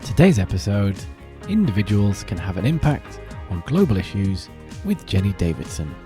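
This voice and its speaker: A man's voice: fairly low pitch, sing-song, moderate pace, music in the background. A man hosting a podcast in a studio, presenting the episode in a chatty, upbeat way. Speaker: podcast host.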